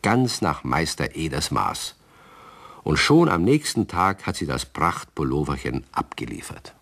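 A deep-voiced older man narrating a children's radio play. He tells the story calmly yet with a lively, rising and falling tone. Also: a little slow. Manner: calm storytelling.

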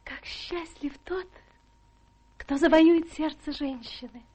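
An actress playing a coquettish young schemer in a radio drama, coaxing and flattering in a theatrical manner. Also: female voice, high voice, slow. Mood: wistful.

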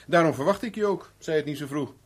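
An actor playing a wry, unruffled private investigator in a 1970s Dutch radio play, bantering casually with a sardonic edge. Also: male voice, a little high, fast talker, natural voice. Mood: wry, probing. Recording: noisy vintage radio drama recording.

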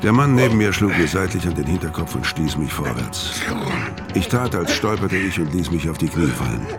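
A man's voice: low voice, animated, normal speed. An actor playing a hard-boiled hitman who narrates a crime radio play in a terse, matter-of-fact way. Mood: grim, terse. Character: hard-boiled male hitman narrating his bloody escape. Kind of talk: scripted first-person noir radio drama narration. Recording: music behind the voice.

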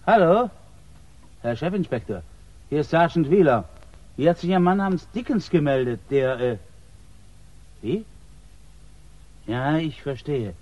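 An actor playing a gruff, sceptical police sergeant with a curt manner in a 1960s radio crime drama. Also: male voice, a little high, expressive, a little slow, long pauses, a little mellow. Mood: deferential. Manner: brusque, dismissive, curt. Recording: dull 1960s radio recording.

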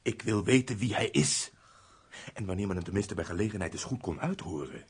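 A male actor playing a fussy, nosy, self-important castle steward in a Dutch radio play, with a husky, blustering, obsequious delivery. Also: deep voice, lively intonation, fairly quick, darkish tone, full character voice. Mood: nosy, exasperated. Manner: flustered, obsequious about nobility, blustering at underlings.

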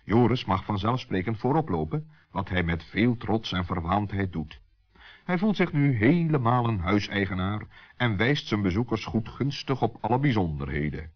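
A man's voice: on the low side, big pitch swings, moderate pace. A man narrating a children's radio play in a scripted storytelling style. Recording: muffled vintage 1960s radio recording.

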